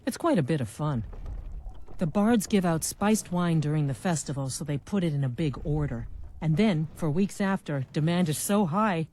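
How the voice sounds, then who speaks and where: A woman's voice: low-pitched, lively intonation, normal speed, dark tone. A woman voicing a practical, chatty wine merchant in a video game, with a businesslike manner. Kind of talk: video game character dialogue.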